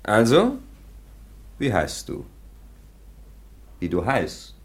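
An actor playing a brisk, authoritative police officer on night watch in a radio play, curt and questioning. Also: male voice, fairly low pitch, huge pitch swings, full character voice. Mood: brisk, stern.

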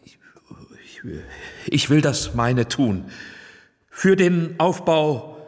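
Slow, halting, cowed film director. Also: male voice, on the high side, animated. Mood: cowed, reluctant. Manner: halting, subdued. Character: cowed, reluctant middle-aged male film director. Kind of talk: German radio play acting. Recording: long hall reverb, faint music.

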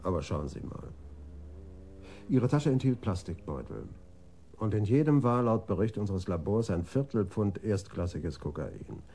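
An actor playing a sober, probing police detective in a radio crime drama, matter-of-fact and pointed. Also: male voice, fairly low pitch, lively intonation, measured pace, warm tone, a little hiss or hum.

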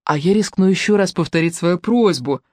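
A man's voice: high voice, moderate pace, dry recording, clean studio sound. A reader voicing a suavely polite young man. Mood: mock-gallant. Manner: soft, exaggeratedly courteous. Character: polite, ironic young male sleuth.

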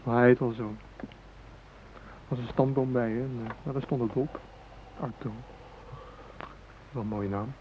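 A man's voice: medium pitch, fairly even intonation, normal speed, long pauses, close to an everyday voice. An actor playing an uneasy, chatty male hostage in naturalistic radio drama, talking in a colloquial, hesitant, musing way. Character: chatty, uneasy male hostage who loves his dog. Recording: noisy radio drama recording.

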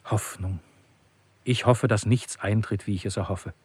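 An anguished, bitter thinker in a radio play. His voice is low and husky, recorded very dry. He declaims solemnly and deliberately, weighing hope against despair. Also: steady tone, no room sound. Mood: grave, resigned. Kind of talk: literary radio play monologue.

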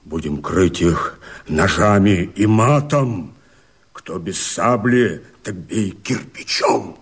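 An actor playing a rough, bloodthirsty rebel follower in a verse radio play, husky and halting, with a tendency to drop toward a whisper. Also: male voice, low voice, lively intonation, exaggerated voice. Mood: menacing, fervent.

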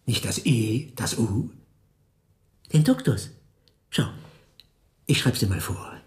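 A smug, cynical master forger in a radio play, lecturing pedantically. He savors words at an unhurried pace with very animated intonation. The room rings around him. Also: mid-range voice. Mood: smug instruction. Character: cynical male master forger, powerful camp prisoner boss. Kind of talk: theatrical radio drama acting. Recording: roomy, reverberant studio sound.

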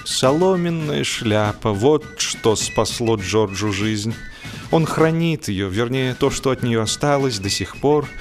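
Theatrical male narrator over music. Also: medium pitch. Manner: theatrical, expressive, with emphatic swells and deliberate pauses. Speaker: audiobook narrator. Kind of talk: dramatic humorous literary narration.

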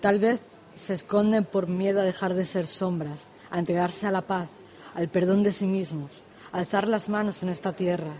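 A woman's voice: lowish voice, steady tone, moderate pace, mellow, a little hiss or hum. A woman poet speaking as a guest on a radio show, reciting verse and giving short replies. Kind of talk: poetry reading and brief interview replies.

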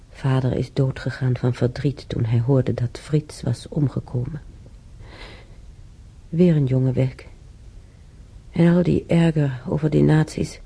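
An actress playing an older woman in a radio play, musing haltingly and sorrowfully over the past in a husky, gravelly voice. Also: a bass voice, dark tone, exaggerated voice. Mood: wistful, sorrowful, then indignant, grieving. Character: older woman, sorrowful, brooding, recalling war years. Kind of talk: radio play monologue, reminiscing.

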